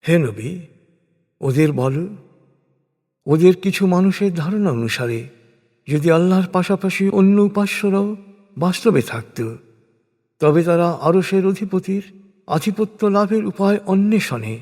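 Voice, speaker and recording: Guy with a kinda high voice reading scripture, solemn n measured, pauses between phrases, big long reverb on it. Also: a little mellow. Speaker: narrator of scripture translation. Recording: long reverberant hall echo.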